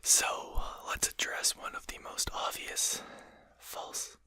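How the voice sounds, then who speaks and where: Whispering, composed, condescending vampire, acted out softly. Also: no echo. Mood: politely condescending. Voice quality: breathy unvoiced whisper. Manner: slow, articulate, formal, gently mocking. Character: composed, courteous, condescending vampire addressing a hunter. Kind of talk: whispered ASMR roleplay.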